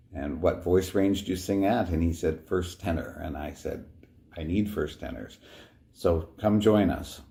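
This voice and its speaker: A man hosting a show, introducing a performance, reading an excerpt aloud and telling a story about a choir member. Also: sits low. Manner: warm storytelling, quoting himself and others. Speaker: host.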